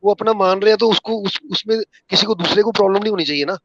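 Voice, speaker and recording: A man's voice: high-pitched, quick pace, no echo, lo-fi sound. A man from Punjab, India, with a Punjabi accent, calling in to chat casually about politics in a deferential way. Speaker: caller.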